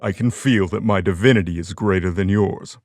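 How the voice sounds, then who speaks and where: A man's voice: sits low, fairly quick. A man playing a large, defiant war god in an audio drama, threatening and blustering as panic creeps in. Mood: defiant warning.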